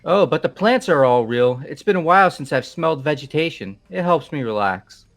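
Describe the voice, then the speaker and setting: A man's voice: fairly high pitch, animated, a little fast, vocal fry. A man voicing an earnest, eccentric teenage schoolboy with dramatic delivery in a fan-voiced game. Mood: dramatic musing.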